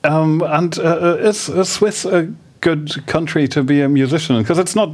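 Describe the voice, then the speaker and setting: A man whose first language is German, hosting a radio interview and asking hesitant questions. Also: measured pace. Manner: hesitant questions, rising pitch. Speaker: radio host.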